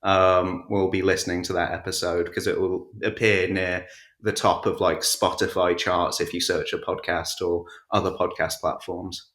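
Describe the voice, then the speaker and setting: A man's voice: sits low, little pitch movement, moderate pace, a bit bright. A man chatting casually as an interview guest, answering in a hesitant, understated way, recorded with an echoey, roomy sound. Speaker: podcast guest and audiobook narrator.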